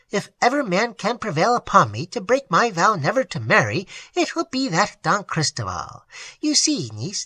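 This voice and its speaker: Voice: mid-range voice, fairly bright tone, husky, no room sound, pitched above the performer's own voice. A reader voicing a vain, chattering older aunt who gushes and boasts in a classic audiobook. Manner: gushing, boastful. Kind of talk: audiobook reading of a classic novel.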